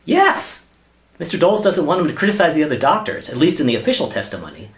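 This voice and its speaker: An actor playing a hesitant, exasperated government office worker and husband in a sitcom-style radio play, with a wry manner. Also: male voice, fairly high pitch, big pitch swings, fast talker. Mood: irritated. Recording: roomy, echoey sound.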